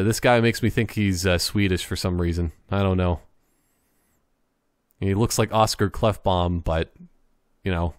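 A man with a fairly low voice gives casual gaming commentary. He rambles and jokes, often halting mid-thought. The sound is dry, with little room echo. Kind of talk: casual joking gameplay commentary.

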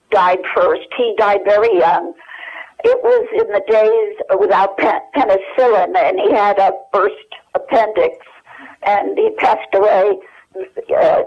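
A muffled phone line carries an older woman's voice. She is a guest in an interview, reminiscing in a conversational, halting style. Her voice sits at an average pitch for a woman. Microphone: telephone. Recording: dull telephone line.

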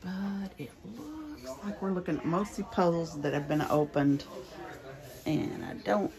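An older woman with a Texan accent, talking in a lively, up-and-down voice as she browses and films on her phone. She exclaims over finds and chats along the way. Other shoppers chatter loudly in the room around her. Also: lowish voice, unhurried. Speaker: thrift vlog host. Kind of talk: casual chat while shopping. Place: estate sale indoors, other shoppers around. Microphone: phone camera held by hand. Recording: busy room with crowd chatter.

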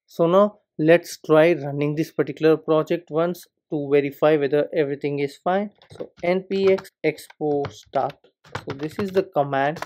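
Man explaining a coding setup. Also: on the high side. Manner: steady step-by-step explaining, repeats "particular". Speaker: coding tutor. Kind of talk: screen-recorded coding tutorial.

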